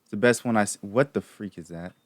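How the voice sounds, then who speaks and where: A man's voice: lowish voice, a little fast, no echo, studio quality. A young man hosting a reaction video, talking in a casual, rambling, slangy way.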